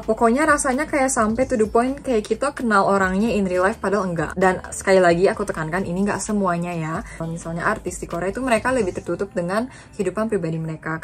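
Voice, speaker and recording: Young woman, from Indonesia, student vlogger, fast, chatty, mixes in English. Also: mid-range voice. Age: young adult. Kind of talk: casual reflective vlog talk.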